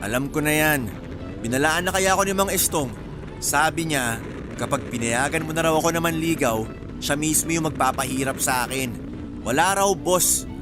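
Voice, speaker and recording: Guy acting as persistent lovestruck suitor, kinda high voice, jokey and flirty, radio drama w/ music. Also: moderate pace, lightly acted. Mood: playful pleading. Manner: teasing, flirtatious, easygoing. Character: persistent, cheeky, smitten male suitor, hardworking rice hauler.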